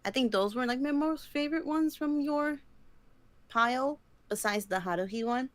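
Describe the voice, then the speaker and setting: A woman's voice: sits high, moderate pace, no echo. Chatty young woman, rambling with lots of fillers. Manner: chatty and rambling, lots of likes and ums, giggly. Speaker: podcast co-host. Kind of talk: casual chat.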